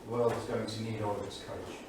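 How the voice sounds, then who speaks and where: An actor playing a bitter, erudite English diarist of the 1930s, declaiming a journal in a sardonic, literary manner. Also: male voice, fairly low pitch, level tone, fast talker, lightly acted. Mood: grimly wry. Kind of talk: staged dramatic reading of a journal. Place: theatre studio stage, far microphone. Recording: distant echoey theatre studio, faint noise.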